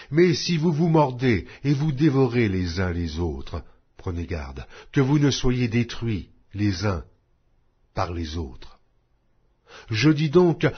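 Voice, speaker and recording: A man reading scripture aloud in a slow, solemn, measured way. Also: on the low side, slightly rough, dull audio. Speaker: Bible reader.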